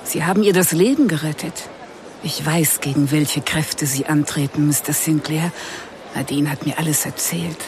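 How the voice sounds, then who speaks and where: An actress playing an older, grieving aunt in an audio drama, speaking politely and hesitantly. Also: female voice, sits low, moderate pace, background noise. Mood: earnest, grateful. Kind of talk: audio-play acting.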